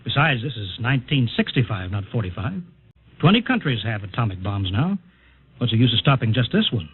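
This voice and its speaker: An actor playing a harried air base project chief in a 1950s radio drama, placating and urgent. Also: male voice, medium pitch, sing-song, a little fast, breathy edge. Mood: soothing. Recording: muffled, scratchy vintage radio recording.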